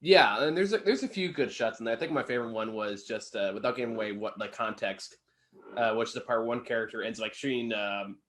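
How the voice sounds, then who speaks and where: A man's voice: mid-range voice, fast talker, a bit bright. A tired-sounding man giving a casual, unscripted film review in informal, sweary language. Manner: profane, informal.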